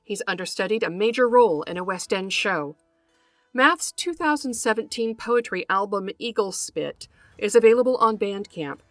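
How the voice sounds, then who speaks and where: Woman podcast host, scripted and measured. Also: medium pitch, expressive, dry recording, soft background music. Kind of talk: scripted narration, introducing a guest.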